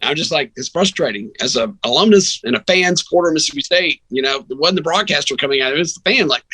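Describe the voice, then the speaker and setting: Man, bright husky voice, casual sports analysis, storytelling broadcaster, dry close sound. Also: fairly high pitch, animated, fast talker, no room sound, rough recording. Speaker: radio sports broadcaster, guest.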